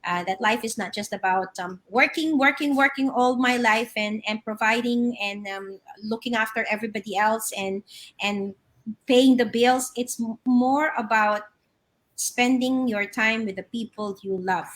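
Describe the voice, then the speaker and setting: A woman's voice: fairly high pitch, measured pace, fairly bright tone. A middle-aged woman from the Philippines, a guest on a live video-call interview from her home office, telling her story with many fillers and restarts.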